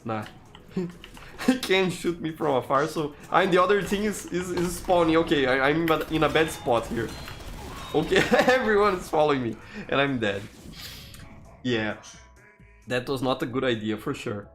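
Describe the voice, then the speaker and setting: A man's voice: fairly high pitch, moderate pace, pauses often, a bit bright, soft background music. A young man from Brazil with a Brazilian Portuguese accent, streaming live gameplay and chatting casually with his chat, full of restarts and "you know".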